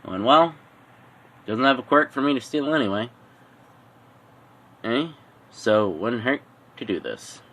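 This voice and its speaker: A man's voice: mid-range voice, animated, a little slow, pauses often, fairly bright tone. A man voicing a scheming supervillain in an amateur fan story, muttering and deliberating in an offhand way. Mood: musing. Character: scheming adult male supervillain, gruff and musing.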